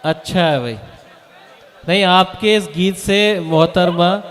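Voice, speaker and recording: A man's voice: high-pitched, slow pace, a bit bright. A man hosting a poetry evening, calling on poets in formal phrasing and showering them with praise. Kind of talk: live event hosting.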